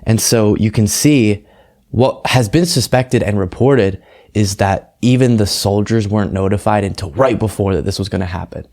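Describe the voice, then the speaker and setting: A man's voice: fairly low pitch, normal speed, a little mellow. An American man hosting a political commentary show, talking in an earnest, emphatic and conversational way. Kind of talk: casual political commentary, reading translated quotes aloud.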